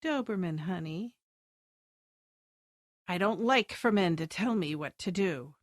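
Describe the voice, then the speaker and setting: A woman's voice: lowish voice, expressive, a little slow, no echo. Lady acting a coy sultry noir villainess, takes her time talking, dry close recording. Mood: smug. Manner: coy, taunting, boastful. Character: sultry, brazen female femme fatale villain. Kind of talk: noir radio drama acting.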